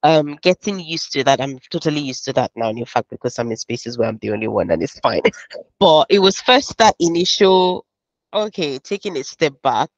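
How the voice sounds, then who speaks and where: A woman's voice: low-pitched, expressive, no echo. Nigerian woman panelist on headset. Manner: candid, reflective, brisk asides. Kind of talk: webinar panel answer. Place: home office, virtual background. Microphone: headset mic on video call. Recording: dull dry video-call audio.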